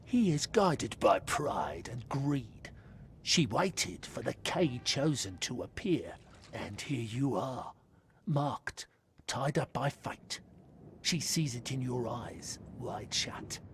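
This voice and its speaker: A man's voice: mid-range voice, expressive, slight background noise. An actor playing a man possessed by an undead sorceress, speaking cryptic riddles solemnly in a video game. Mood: solemn, ominous. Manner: ominous, riddling, deliberate. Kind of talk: video game dialogue acting.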